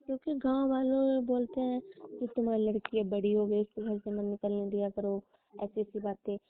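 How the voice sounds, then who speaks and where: A woman's voice: mid-range voice, little pitch movement, moderate pace, dry recording. A young woman confiding plaintively in a casual, intimate phone conversation. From rural Uttar Pradesh, India. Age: young adult. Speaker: girlfriend on a personal phone call. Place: phone call recording. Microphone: telephone. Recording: narrow tinny phone line, dry.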